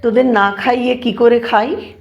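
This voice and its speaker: A woman playing a caring, worried village mother in an audio drama, with a gently scolding motherly manner. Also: on the high side, fairly even intonation, a little slow, gravelly, highs cut off.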